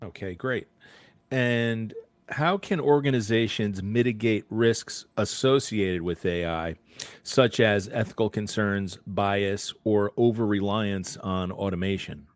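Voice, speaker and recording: Male Q&A moderator, slightly deep, recorded dry, relays audience questions to a speaker. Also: slow, no echo.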